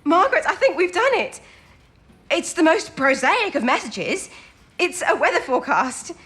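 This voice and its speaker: An actress playing an eager, warm-hearted young female codebreaker in a wartime audio drama. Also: very high-pitched, very animated, moderate pace, full character voice. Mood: breathless excitement. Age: young adult.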